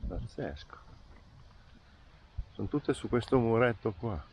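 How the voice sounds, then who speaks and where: A man's voice: mid-range voice, lively intonation, slow pace, breathy edge. A middle-aged man, chatting casually for a travel vlog. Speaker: travel vlogger. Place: outdoors and inside a camper. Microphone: handheld camera mic. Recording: muffled, noisy camera audio.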